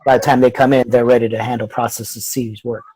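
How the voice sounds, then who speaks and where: A man's voice: medium pitch, level tone, brisk, darkish tone, a little hiss or hum. A man speaking as a student on a video conference, talking through a practical example and checking his understanding. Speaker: student in a class. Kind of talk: tentative worked-example discussion.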